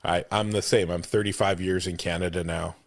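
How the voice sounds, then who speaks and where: Deep-voiced easygoing man host. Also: steady tone, brisk, no room sound, clean studio sound. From United States, Oregon. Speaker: podcast host. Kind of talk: casual interview conversation.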